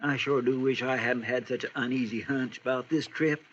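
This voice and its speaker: A man's voice: mid-range voice, steady tone, moderate pace, fairly bright tone, lightly acted. Guy doing worried folksy deputy, country american drawl, polite n hesitant, dull old radio sound w faint noise. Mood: anxious, fretful. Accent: rural American drawl. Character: loyal, fretful, deferential male deputy sidekick. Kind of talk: old-time radio western acting.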